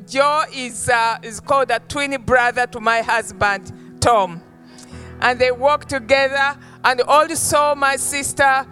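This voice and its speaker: A woman, a missionary guest speaker giving a slow, warm and earnest testimony in church. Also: a little high. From Uganda. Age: 70s. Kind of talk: sermon greeting. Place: church stage.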